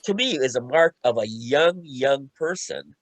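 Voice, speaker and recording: A man's voice: medium pitch, animated, measured pace, dry recording. A man talking casually on a panel as a co-writer, often repeating himself and restarting phrases as he recounts a creative process. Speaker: radio play co-writer and panelist.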